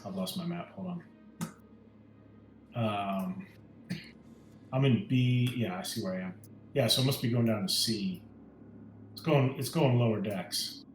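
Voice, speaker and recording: A man's voice: on the low side, expressive, a little slow, long pauses. A man playing a tabletop roleplaying game, casually speaking as his character and thinking aloud hesitantly. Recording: roomy echo, music underneath.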